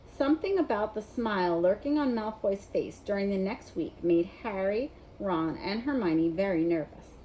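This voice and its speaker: A woman narrating a children's novel in an expressive read-aloud style. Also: medium pitch, moderate pace, hardly any pauses, slight background noise, low-quality recording. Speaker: storybook reader.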